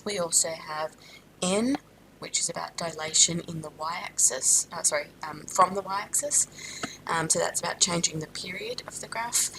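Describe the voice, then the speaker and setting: Aussie-raised maths teacher lady, low voice, bit gravelly, blocked up nose, lots of ums, noisy rumbly recording. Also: moderate pace. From Australia. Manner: steady explaining with frequent ums and self-corrections. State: stuffed up with a sinus infection. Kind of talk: recorded classroom lesson.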